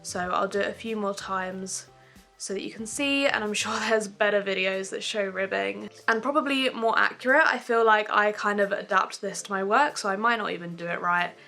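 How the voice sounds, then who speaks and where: A woman's voice: medium pitch, normal speed, vocal fry, pushed and bright, soft background music. A young woman giving a casual, chatty step-by-step crochet tutorial at home, with frequent ums.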